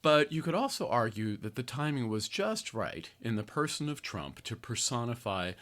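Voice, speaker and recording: An older American man hosting a podcast, reading his own article aloud in an earnest, deliberate way with conversational asides. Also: mid-range voice, very animated, a bit bright. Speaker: podcast host, therapist and activist writer.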